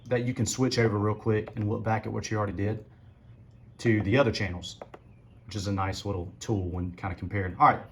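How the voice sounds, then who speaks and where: A man with a low voice giving a casual tutorial, talking through settings as he adjusts them. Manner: relaxed, talks while adjusting settings. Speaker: musician demonstrating gear.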